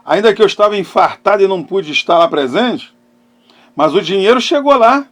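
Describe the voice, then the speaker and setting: A middle-aged man preaching and giving personal testimony as a pastor, emphatic, with dramatic pauses and swings between loud and hushed phrases. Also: sits high. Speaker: pastor and therapist hosting a live talk.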